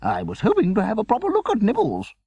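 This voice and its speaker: A man voicing a gushing, short-sighted, excitable woman in an audiobook, effusive and full of exclamations. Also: high voice, fast talker, slightly rough, no echo, pitched above the performer's own voice, heavily acted. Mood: disappointed.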